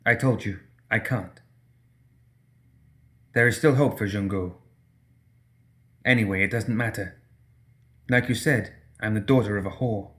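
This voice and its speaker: A male narrator gives voice to a young woman rebel in an audiobook tale. She is proud and tender with a bitter edge, speaking earnestly in a fairly low register. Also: measured pace, long pauses, a bit bright.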